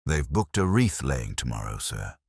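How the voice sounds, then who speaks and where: Man playing a low-voiced, deferential police sergeant. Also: big pitch swings, normal speed, slightly rough, no room sound, clean studio sound, heavily acted. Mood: dutiful. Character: deferential male police sergeant, junior to the inspector.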